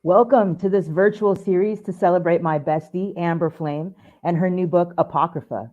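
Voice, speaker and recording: A woman's voice: fairly low pitch, steady tone, moderate pace, dark tone. Phone-like recording of a woman introducing an event. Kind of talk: prepared introduction. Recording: thin phone-like narrow band.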